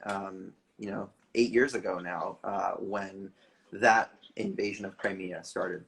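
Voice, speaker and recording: A man's voice: lowish voice, a bit bright, a little hiss or hum, rough recording. A man hosting a live online interview, hesitant and full of ums as he asks his questions. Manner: hesitant, many ums and restarts. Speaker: interview host.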